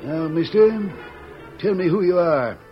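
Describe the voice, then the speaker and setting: Radio western sheriff: high-pitched for a man, blunt, folksy, distrustful. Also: expressive, measured pace. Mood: stern. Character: suspicious, blunt small-town western sheriff. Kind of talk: old-time radio western acting. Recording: muffled vintage radio, faint music.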